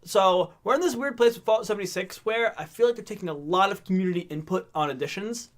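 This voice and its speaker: A man's voice: sits high, quick pace. A man co-hosting a casual gaming podcast, talking animatedly, laughing often and restarting his words. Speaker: podcast co-host. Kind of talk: casual chat.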